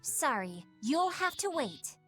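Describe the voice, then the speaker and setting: A woman playing a brisk, caring, confident teenage big sister in an anime-style fantasy game. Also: sits high, very animated, a bit bright, faint music behind, full character voice. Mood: gentle, firm.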